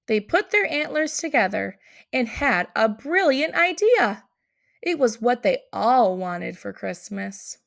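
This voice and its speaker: A woman's voice: on the high side, very animated, slow pace, gravelly, a bit bright. A woman narrating a picture book aloud for children. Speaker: storybook reader.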